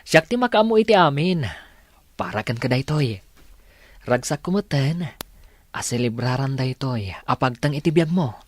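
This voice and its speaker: A man playing a supportive, cheerful young husband in a radio drama, with an Ilocano accent and an expressive, reassuring manner. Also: medium pitch, normal speed, pauses often, no room sound, lightly acted. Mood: proud, delighted. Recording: music bed behind the voice.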